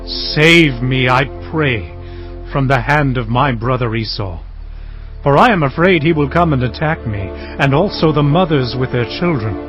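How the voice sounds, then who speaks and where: An actor playing an earnest, humble herdsman patriarch in a dramatized scripture reading, speaking in a measured, solemn way. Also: medium pitch, animated, a bit bright, close to an everyday voice. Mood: anxious, pleading. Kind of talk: dramatized audio scripture acting. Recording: dull lo-fi audio over music.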